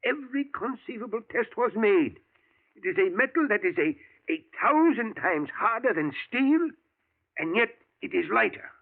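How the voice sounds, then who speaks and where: An actor playing an elderly male professor, a thoughtful scientist who talks in a measured way and chuckles often, in a 1940s radio drama. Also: high voice, sing-song, gravelly, full character voice. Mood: confiding. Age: older. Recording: tinny lo-fi old radio broadcast.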